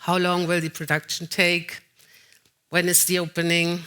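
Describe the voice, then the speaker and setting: A woman's voice: lowish voice, completely flat delivery, bright, forceful tone, smooth. A woman curator giving a conference keynote from a lectern. She reads partly from notes and speaks with a few hesitant fillers. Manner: slightly halting, with frequent "uh" fillers. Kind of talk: lecture read partly from notes.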